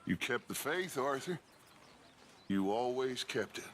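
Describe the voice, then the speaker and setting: A man's voice: medium pitch, animated, measured pace, fairly bright tone, a little hiss or hum. An actor playing a grandiose, charismatic outlaw gang leader in a western game, fatherly and theatrical. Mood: elated, earnest.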